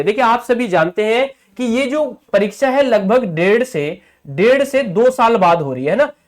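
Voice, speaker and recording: A male coaching teacher giving an online exam-prep lesson, who repeats key points, checks in with listeners often and addresses viewers familiarly as brothers. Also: sits high.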